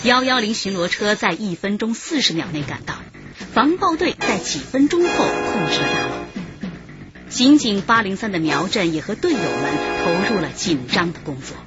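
A woman's voice: mid-range voice, sing-song, normal speed. A woman giving dramatic scripted narration for a crime story. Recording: dull track with loud music.

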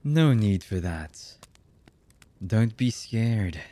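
A man acting a very slow, deep, husky villain. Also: animated, dark tone, no echo. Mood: menacing, mock-polite. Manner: slow, menacing, mock-polite. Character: cold, heartless male villain boss, calmly threatening captives. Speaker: voice actor. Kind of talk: ASMR villain roleplay acting.

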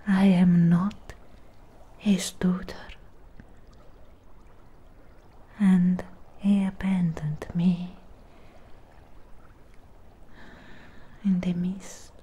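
Woman whispering as a slow, lovestruck monster girl. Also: fairly low pitch, mellow, exaggerated voice. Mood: wounded, resentful. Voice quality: breathy, unvoiced whisper. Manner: slow, halting, intimate phrases. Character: lonely, possessive female Frankenstein creature, tenderly lovestruck. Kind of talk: ASMR roleplay, close-up whispering. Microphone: close microphone. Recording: close-up with clear background noise.

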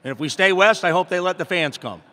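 A man's voice: high voice, quick pace, sharp tone. A middle-aged man, a basketball head coach, answering postgame questions at a press conference in a plainspoken, upbeat way, with many fillers and restarts. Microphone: table mic in front. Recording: long hall reverb.

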